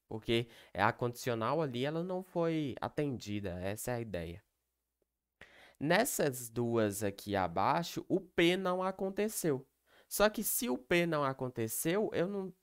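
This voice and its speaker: A young man teaching a lesson over slides in a relaxed, conversational voice. He works through each point patiently, pausing often to ask whether everything is clear. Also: mid-range voice. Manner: explains step by step, checks in with "beleza?" and "ok?". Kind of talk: recorded online lecture.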